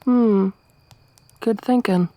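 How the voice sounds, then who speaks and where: A woman voicing a tough, wry young survivor woman in a soft-spoken ASMR roleplay, with a dry, teasing, gruff manner. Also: mid-range voice, drawn-out words, dry recording, low-quality recording. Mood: low-key, wry.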